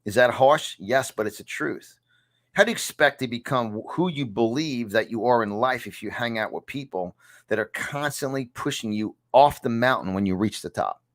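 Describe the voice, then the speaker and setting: A man's voice: medium pitch, moderate pace. A man in his fifties with a New York accent, giving a motivational success lesson to camera from a small home studio, emphatic and repeating phrases for effect. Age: 50s. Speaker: success coach.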